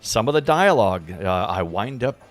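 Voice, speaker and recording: A man, a stage actor being interviewed, speaking casually in an earnest, thoughtful, halting way. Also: fairly low pitch, very animated, no room sound, soft background music.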